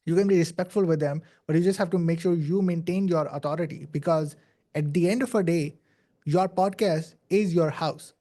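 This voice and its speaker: A young man with a Pakistani accent speaks English as a second language, his voice somewhat high yet mellow and soft-edged. He tells his story as a podcast guest in an earnest, casual way, leaning on "like" and restarting his sentences. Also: normal speed. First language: not English. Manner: earnest, many "like" fillers and restarts.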